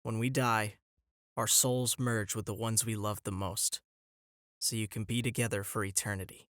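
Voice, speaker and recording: A man playing a young, adventurous alien historian in an animated film, earnest and hopeful in a reflective, conversational way. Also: on the low side, normal speed, dry recording, clean studio sound, close to an everyday voice. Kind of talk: animated film voice-over narration and dialogue. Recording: music under the voice.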